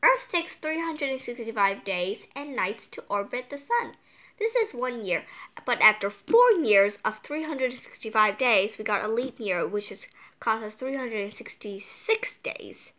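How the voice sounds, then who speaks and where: Female storytime host, higher voice, unhurried pace, casual and whimsical, wanders into playful tangents. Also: animated, fairly bright tone. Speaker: storytime read-aloud host. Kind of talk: casual read-aloud with rambling playful asides.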